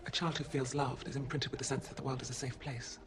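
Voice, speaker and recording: An actor playing a composed, clinical official who speaks formally and matter-of-factly, in naturalistic screen drama. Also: male voice, mid-range voice, steady tone, close to an everyday voice. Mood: coolly persuasive. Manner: measured phrasing, formal, matter-of-fact. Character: composed, clinical male official defending his organisation. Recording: noisy location sound.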